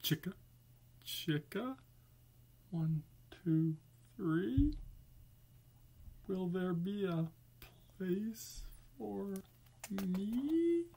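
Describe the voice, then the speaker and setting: A man's voice: high-pitched, expressive, drawn-out words, vocal fry, pauses often, warm tone, husky, heavily acted. A man voicing a small, worried, childlike number zero in a plaintive singsong chant for a storybook. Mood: pleading. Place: small indoor room.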